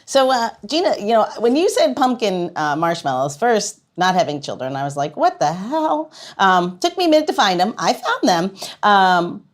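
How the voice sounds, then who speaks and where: A woman hosting a podcast in a playful, expressive way, moving between banter and show announcements. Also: medium pitch, normal speed, few pauses. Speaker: podcast host.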